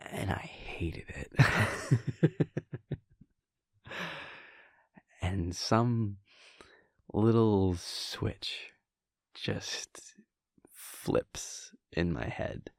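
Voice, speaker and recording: Very slow, gravelly male actor as young vampire prince boyfriend, wry, mischievous, hushed, halting, intimate audio roleplay, close dry mic. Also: lowish voice, no echo, exaggerated voice. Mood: sardonic, reminiscing. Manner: halting, hushed, amused, trailing off. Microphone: close, dry studio microphone.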